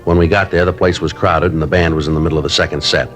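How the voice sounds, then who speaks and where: An actor playing a terse police sergeant, narrating a 1950s radio crime drama in a clipped, deadpan way. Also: male voice, rumbling low, very fast, faint music behind. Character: terse male police sergeant, matter-of-fact.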